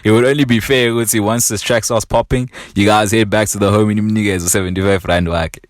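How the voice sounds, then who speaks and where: A South African man hosts a relaxed podcast chat, putting questions in slangy, friendly wording. His voice sits fairly low, and the sound is close and dry with no room echo. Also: brisk. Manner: slangy, reacting and prompting. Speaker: podcast host, interviewer. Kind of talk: casual interview chat.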